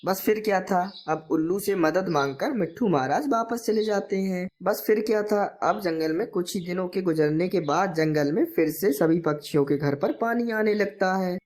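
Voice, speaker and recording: A woman's voice: on the low side, darkish tone. A woman narrator reading a scripted children's moral story.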